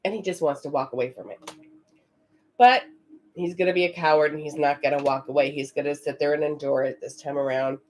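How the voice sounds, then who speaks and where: Raspy-voiced woman doing live readings. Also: sits low, fairly even intonation, fairly quick, a little mellow, rough recording. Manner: chatty, talks to viewers in the chat. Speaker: psychic reader. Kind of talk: live-stream card reading.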